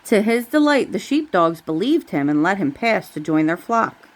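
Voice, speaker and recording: A woman's voice: mid-range voice, sing-song, normal speed. A woman reading a bedtime story aloud to a child, with casual asides along the way.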